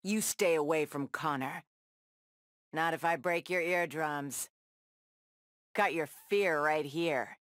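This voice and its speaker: A woman's voice: deep voice, takes it slowly, pauses often, no echo, studio quality. A woman playing a tough, sassy superheroine in a video game, trading confident, defiant taunts and wry one-liners. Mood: cocky, taunting. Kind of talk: video game battle banter.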